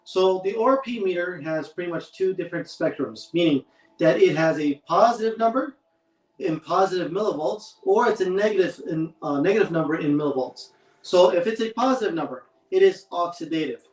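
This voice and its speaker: A man explaining a home test step by step in a relaxed way while co-hosting a live stream. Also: sits high, fairly quick, recorded from a distance. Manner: laid-back, explains step by step. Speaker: co-host demonstrating a test. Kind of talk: casual explanatory demo. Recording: roomy sound from away from the mic.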